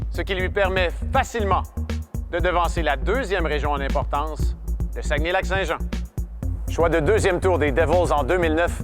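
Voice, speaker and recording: A man reading scripted narration for a sports magazine show, with a smooth read-out delivery. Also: fairly high pitch. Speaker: show host. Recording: voice-over with music behind.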